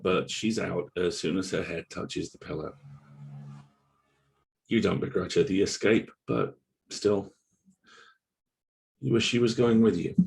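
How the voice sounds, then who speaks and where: A man acting a brooding, guilt-haunted narrator in an audio drama read, with a measured, solemn, literary delivery. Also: low voice, creaky. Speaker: voice actor at a table read.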